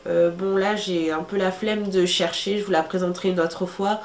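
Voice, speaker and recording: A woman's voice: on the low side, steady tone, moderate pace. A young woman vlogger, congested from a cold, chatting casually to camera with many fillers as she shows her hair tools. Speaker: beauty vlogger. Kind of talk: casual how-to talk to camera. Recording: echoey room with faint ambient noise.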